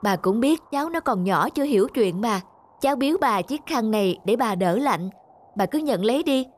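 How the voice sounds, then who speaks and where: A woman voicing a kind, patient mother in a moral-tale cartoon, speaking in a gentle, polite, instructive manner. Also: a little high, expressive, normal speed. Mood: kindly. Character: kind, patient young mother teaching her daughter compassion.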